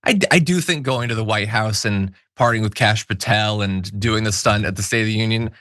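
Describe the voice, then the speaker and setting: A man's voice: fairly low pitch, a little fast, fairly bright tone, no room sound, studio quality. A man hosting a political talk show, giving casual, opinionated commentary in an emphatic, informal, wry way.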